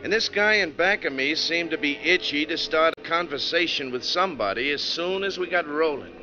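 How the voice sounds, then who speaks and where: A man's voice: fairly high pitch, expressive, fairly quick, music in the background, natural voice. A man with an American accent acting an easygoing, colloquial bus driver who narrates his story in an old-time radio drama. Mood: wry narrating. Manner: colloquial, wry. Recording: noisy, dull old-time radio recording.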